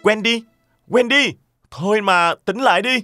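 A man's voice: sits high, unhurried, bright, forceful tone, soft background music. A man playing a brave, earnest, forever-young flying boy in a dubbed children's cartoon. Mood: worried.

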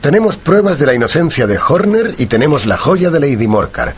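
An actor with a Castilian Spanish accent playing a shrewd, composed gentleman detective in a radio drama, formal and authoritative. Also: on the high side, sing-song, fairly quick, breathy edge, full character voice. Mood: reflective, assured.

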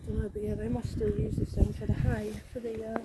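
A woman's voice: medium pitch, level tone, brisk. A woman with an English accent chatting casually while exploring an abandoned farm outdoors, recorded on a phone. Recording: loud outdoor background noise.

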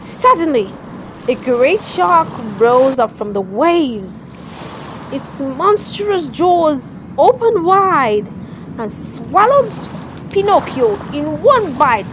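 A woman narrates a children's story in a high-pitched voice. She takes her time, at a markedly slow pace. Her delivery is expressive and very lively in pitch, leaning on key words for drama. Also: background noise.

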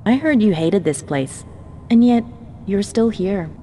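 A woman's voice: mid-range voice, expressive, a little slow, mellow, long reverb tail, a little hiss or hum, close to an everyday voice. A woman playing a poised, ambitious researcher in a video game, polite, composed and sure of herself. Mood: composed, confident. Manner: polite, composed, self-certain.

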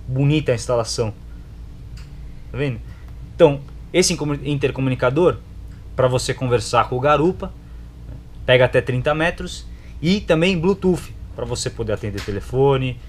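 Young Brazilian man, noisy shop recording. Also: medium pitch. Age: young adult. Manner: informal, slangy, short clipped phrases. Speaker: product reviewer. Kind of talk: casual product review to camera. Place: indoors in a motorcycle gear shop. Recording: clear background noise, shop ambience.

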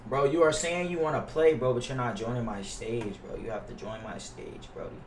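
A man's voice: medium pitch, steady tone, fairly quick. A young American man chatting casually to livestream viewers as he games, slangy, profane and complaining. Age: young adult. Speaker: livestreamer playing a basketball video game. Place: indoors at a gaming setup. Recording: roomy, distant mic with faint noise.

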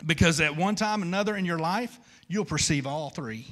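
A man's voice: a little high, brisk, fairly bright tone. A man, preaching an informal, conversational sermon and repeating phrases for emphasis. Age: 50s. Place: large church stage at a wooden pulpit.

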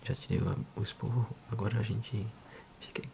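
A man in his thirties with a Brazilian accent, speaking softly and unhurriedly in an ASMR role-play as a physiotherapist addressing the listener. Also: fairly low pitch, flat delivery, gravelly, slight background noise. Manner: soft, unhurried, hushed.

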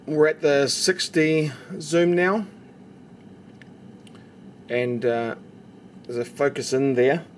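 A man's voice: a little high, slow pace, long pauses. A man giving a casual, instructional narration, explaining carefully step by step as the presenter of a tutorial. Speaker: knife maker presenting a tutorial. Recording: steady background noise, homemade recording. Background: noticeable background noise.

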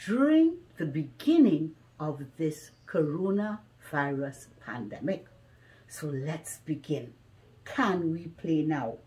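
A middle-aged woman reading a children's story aloud in a deliberate, expressive way, slightly muffled by a face mask. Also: lowish voice. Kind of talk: children's picture book read-aloud. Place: small living room at home, indoors.

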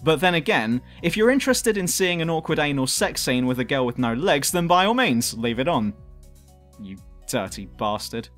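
A man's voice: a little high, sing-song, moderate pace, a bit bright, faint music behind. A man reading a scripted countdown review as host, with a cheeky, irreverent manner. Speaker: host and reviewer of a top ten list show.

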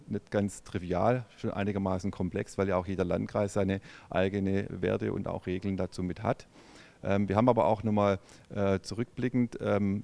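A middle-aged man with a low, gravelly voice, speaking as a company executive in an interview. He talks haltingly, full of fillers and restarts, into a handheld mic in a large indoor showroom. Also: mellow. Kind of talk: on-camera interview. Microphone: handheld microphone.

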